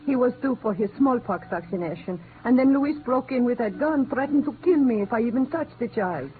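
A woman's voice: on the high side, lively intonation, brisk, natural voice. Woman playing an earnest Latin American nurse, tinny sound. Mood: earnest, worried. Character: earnest, dedicated young South American clinic nurse. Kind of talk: 1950s radio drama acting. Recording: tinny old radio broadcast, light noise.

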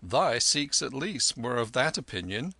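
A man's voice: medium pitch, big pitch swings, a little slow, gravelly, bright, forceful tone, no echo, pitched above the performer's own voice, heavily acted. A man voicing a proud, brash young soldier with a boastful manner. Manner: boastful, scowling.

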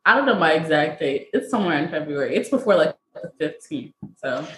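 A woman's voice: sits low, reverberant. A young adult woman, a college student, telling stories as a podcast guest and stretching out her words. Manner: lively storyteller, drawn-out words. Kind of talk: casual interview chat.

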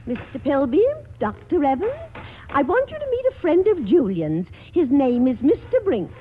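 Shrewish, high-pitched, scheming aunt. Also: female voice, big pitch swings, moderate pace, faint music behind. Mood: sardonic, insistent. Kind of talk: 1940s radio comedy acting. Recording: tinny, band-limited old radio broadcast.

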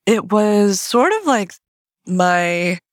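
A woman's voice: medium pitch, lively intonation, extremely slow, dry recording, studio quality. A woman in her early thirties from Colorado, chatting as a guest on a podcast and laughing lightly between thoughts. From United States (Colorado). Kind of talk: casual interview chat.

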